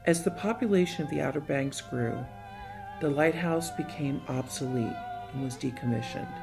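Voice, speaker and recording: A man's voice: on the high side, normal speed, creaky. A man reading scripted story narration as the narrator of a play. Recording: music bed behind the voice.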